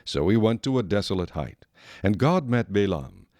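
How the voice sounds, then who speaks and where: A man reading scripted scripture narration in a measured, solemn storytelling manner. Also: lowish voice, very animated, a little mellow, dry recording.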